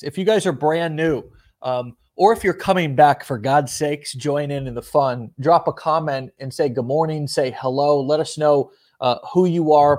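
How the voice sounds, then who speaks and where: A man hosting a casual online interview show, upbeat and energetic, often restarting and repeating words. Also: mid-range voice. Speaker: host.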